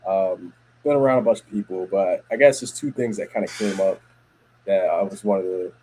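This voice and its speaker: A man's voice: low voice, moderate pace, darkish tone, slight background noise, poor audio. A man co-hosting a podcast from home, talking casually and rambling with many fillers and restarts. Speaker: podcast co-host. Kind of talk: casual chat.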